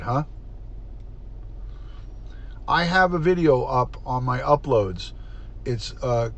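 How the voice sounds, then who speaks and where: A middle-aged man, a retired detective, hosting a casual livestream, chatty and stuffed up, sniffling as he greets viewers by name. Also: medium pitch. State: congested, stuffed-up nose, sniffling.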